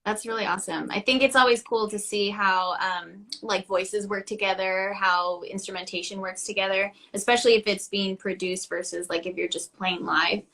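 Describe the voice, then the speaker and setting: A woman's voice: mid-range voice, steady tone, normal speed, vocal fry, hardly any pauses, sharp tone. A woman hosting a live-stream interview over a video call, reacting with upbeat enthusiasm. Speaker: host.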